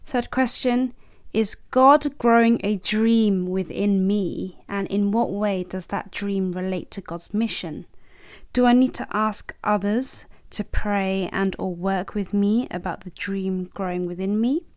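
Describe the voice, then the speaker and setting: A woman hosting a podcast, who speaks gently and encouragingly and laughs easily while she guides a reflection and an interview. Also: medium pitch, fairly even intonation, a little slow, clean tone, no room sound, clean studio sound. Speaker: podcast host.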